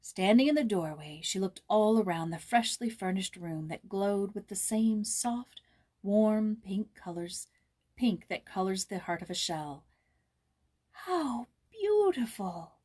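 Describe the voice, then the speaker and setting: A woman's voice: mid-range voice, darkish tone. A woman hosting a read-aloud, narrating a children's novel. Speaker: storybook reader and host.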